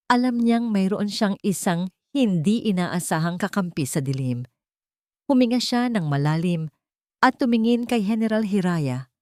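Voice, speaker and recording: A woman narrating a scripted dramatic story in measured short phrases. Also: fairly low pitch, darkish tone, no room sound, studio quality.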